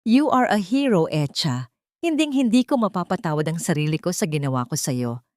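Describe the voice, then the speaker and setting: A woman's voice: fairly low pitch, expressive, moderate pace, a little mellow, dry recording, studio quality, lightly acted. A woman with a Filipino accent voicing a frail, once-haughty old mother-in-law, anguished and pleading, in an audio story. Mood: remorseful, pleading.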